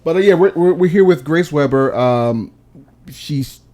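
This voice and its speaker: A man's voice: on the high side, expressive, unhurried, a little mellow. A man hosting a podcast in casual chat, who grew up in Virginia, rambling with lots of fillers as he introduces a guest. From Virginia, USA. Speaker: podcast host.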